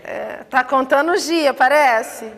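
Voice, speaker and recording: A woman's voice: high voice, slow, gravelly, long reverb tail, a crowd in the background. A woman leading a group workshop, asking each person to introduce themselves and joking with them. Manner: teasing, encouraging. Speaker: workshop facilitator. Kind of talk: group introductions, friendly banter.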